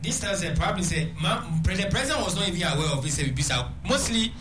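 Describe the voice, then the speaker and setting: A man with a Ghanaian accent, a guest on a call-in radio discussion, who talks haltingly, restarts often and keeps checking "what do I say". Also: high voice, sing-song, over music. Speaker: guest commentator.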